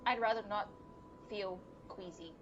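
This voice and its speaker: A woman streaming a video game with casual, sarcastic, sweary commentary and deadpan jokes. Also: medium pitch, unhurried, highs cut off, crowd noise. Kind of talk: casual live gameplay commentary. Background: video game music.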